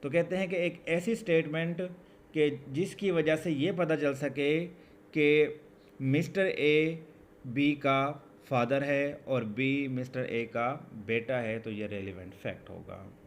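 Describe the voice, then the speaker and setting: A Pakistani man gives an exam lesson at an unhurried pace, in a mid-pitched voice. He explains conversationally, rephrasing point by point. There is faint noise behind him. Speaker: law teacher. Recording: background noise throughout.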